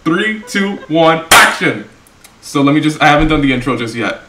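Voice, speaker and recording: Excitable young man, very animated pitch. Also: normal speed. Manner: excitable, repeats phrases. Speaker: host of a reaction video. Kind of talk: casual reaction chat. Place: small room indoors. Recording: roomy sound, faint noise.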